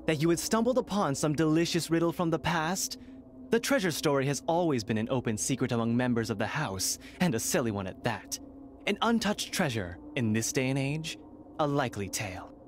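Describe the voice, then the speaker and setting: An actor playing an arrogant, mocking noble heir in a video game, with theatrical, smug sarcasm. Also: male voice, mid-range voice, a little hiss or hum, lightly acted.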